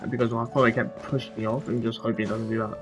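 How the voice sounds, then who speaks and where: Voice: medium pitch, little pitch movement, quick pace. A gamer giving casual, offhand commentary on their own playthrough, rambling and muttering to themself. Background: game music.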